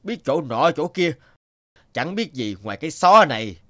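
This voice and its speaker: A man's voice: a little high, very animated, normal speed, a bit bright, dry recording, studio quality. A man voicing a wistful, envious water buffalo in a cartoon fable, speaking plaintively. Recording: music under the voice.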